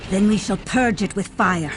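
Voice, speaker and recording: A woman's voice: medium pitch, normal speed, natural voice. A woman playing a zealous, commanding leader of battle nuns in a video game, pious and grandiose in manner. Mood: solemn, devout. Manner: grandiose, devout, imperious. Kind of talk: theatrical video game voice acting. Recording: loud game music behind.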